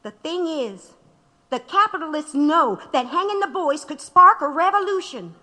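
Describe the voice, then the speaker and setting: An actress playing a fiery, determined labor agitator, speaking with impassioned emphasis in an audio drama. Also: female voice, high-pitched, lively intonation, moderate pace, sharp tone. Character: fiery, determined woman labor agitator rallying her comrades. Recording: long hall reverb.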